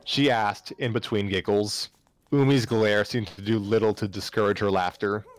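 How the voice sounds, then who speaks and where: A man's voice: fairly low pitch, fairly even intonation, moderate pace. A man narrating a story live on a stream, often stumbling and repeating phrases as he reads aloud. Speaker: narrator, livestream reader. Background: background music.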